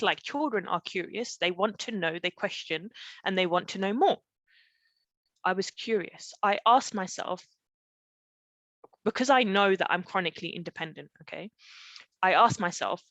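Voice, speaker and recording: Female coach, middle-aged, mid pitch, conversational live teaching, frequent check-in questions, dry recording. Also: fairly bright tone, no echo. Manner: explanatory, often checking in with "okay?" and rhetorical questions. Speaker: parenting coach leading a live online session. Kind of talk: conversational live webinar teaching with personal stories. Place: live online group session.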